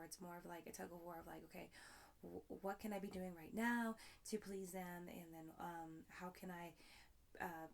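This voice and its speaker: A young woman hosting her own podcast, talking in a rambling, upbeat way with lots of fillers, her voice hoarse and raspy. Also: on the low side. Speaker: podcast host. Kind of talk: solo podcast talk.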